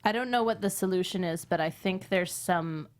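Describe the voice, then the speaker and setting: A woman's voice: lowish voice, normal speed, a little hiss or hum. A woman offering tentative suggestions in a casual workshop discussion. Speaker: panelist giving script feedback.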